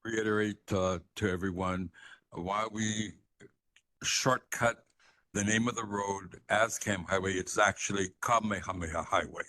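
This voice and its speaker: Bright-voiced man from Hawaii at a meeting. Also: fairly low pitch, steady tone, measured pace, rough recording. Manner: courteous, explanatory, offers help. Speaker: neighborhood board member. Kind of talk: public meeting comment. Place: community meeting room.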